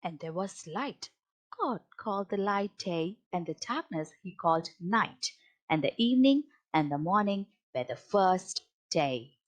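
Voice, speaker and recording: A woman's voice: on the low side, lively intonation, measured pace. A woman reading a children's Bible story aloud as a storybook narrator. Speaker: storybook reader.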